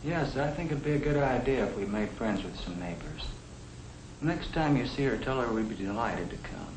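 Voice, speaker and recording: An actor playing a practical young American husband, plain-spoken and reasoning, in the style of a 1950 educational film. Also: male voice, mid-range voice, lively intonation, moderate pace, natural voice. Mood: agreeable. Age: young adult. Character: young professional husband, practical, matter-of-fact, budget-minded. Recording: echoey, noisy 1950 film soundtrack.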